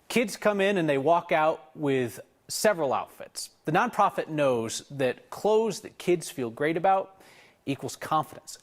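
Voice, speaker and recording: A man presenting an evening newscast, conversational and wry, with personal opinions mixed into the scripted copy. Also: a little high. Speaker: news anchor.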